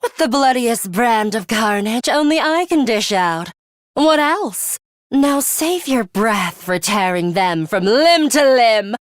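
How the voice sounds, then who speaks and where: Game role: imperious, bloodthirsty young female fighter. Unhurried, formal, theatrical menace, dry close sound. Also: a little high, animated, no echo. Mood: bloodthirsty, exhilarated. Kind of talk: English video game voice acting.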